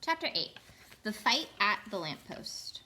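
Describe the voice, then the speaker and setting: Bright, animated woman reading a story. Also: medium pitch, a little slow. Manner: dramatic, emphatic. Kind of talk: expressive children's audiobook narration.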